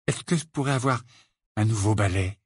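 A man's voice: lowish voice, brisk, breathy edge, no room sound, clean studio sound. A man reading an audiobook voices an eager, sometimes grumbling teenage boy wizard. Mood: hopeful.

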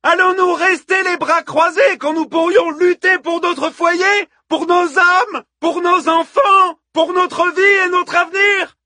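A man's voice: very high-pitched, fairly even intonation, slow, few pauses, bright, forceful tone, no room sound, pitched above the performer's own voice, exaggerated voice. A man voicing a fiery, robust Trojan woman who harangues a crowd with impassioned, bellowing oratory in an epic audiobook. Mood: urgent, exhorting. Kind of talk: audiobook character voice, epic fiction.

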